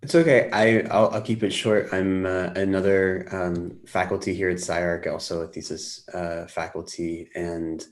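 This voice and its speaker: A very deep-voiced man introduces himself briefly and hesitantly on a video call. His delivery stays flat and low-key throughout. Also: unhurried, few pauses. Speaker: guest faculty.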